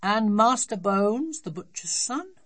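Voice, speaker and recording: A woman's voice: medium pitch, animated, slow, pitched above the performer's own voice. A woman voicing a bossy, triumphant little girl in a children's storybook, with emphatic, insistent delivery.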